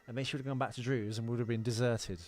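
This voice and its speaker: A man's voice: mid-range voice, fairly even intonation, rapid-fire, darkish tone. A man hosting a podcast in casual chat, joking and self-mocking, often questioning and protesting. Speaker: podcast host.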